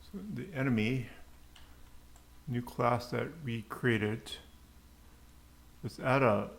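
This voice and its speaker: A man giving an unscripted coding tutorial, haltingly muttering to himself as he types. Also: medium pitch, steady tone. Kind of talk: unscripted screencast coding tutorial, thinking aloud. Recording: clear background noise, keyboard clicks. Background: typing and mouse clicks.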